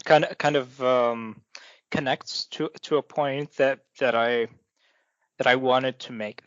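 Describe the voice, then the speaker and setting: A man's voice: medium pitch, no echo. A man hosting a podcast, working through his point hesitantly with many restarts and fillers. His voice is dry, with no room sound, and the audio is dull and lo-fi. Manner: hesitant, restarts often while building an argument. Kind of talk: casual podcast conversation.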